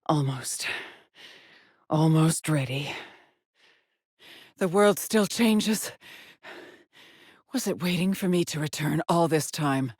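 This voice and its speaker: An actress playing a haunted, driven female astronaut in a video game, brooding and introspective in measured solitary log monologues. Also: low voice, darkish tone, breathy edge, no room sound, clean studio sound, exaggerated voice. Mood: tense, introspective. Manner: slow, measured, brooding delivery with pauses.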